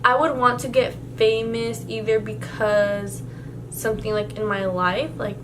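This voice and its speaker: A woman's voice: a little high, fairly even intonation, unhurried, noisy background. A young woman whose first language is Spanish, answering questions in a casual vlog and rambling with many fillers and restarts. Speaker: vlogger. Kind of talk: casual Q&A tag video.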